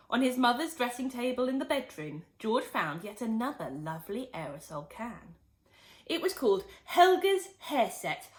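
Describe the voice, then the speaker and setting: A woman teacher reading a children's book aloud, with expressive storytelling and lively emphasis. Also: medium pitch.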